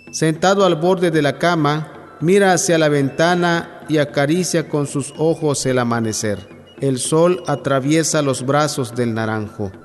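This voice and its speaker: A man in his forties from Tabasco, Mexico, whose first language is Yokot'an, reading his poetry in slow, measured recitation. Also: on the high side. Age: 40s. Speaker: poet. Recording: long reverb with faint music underneath.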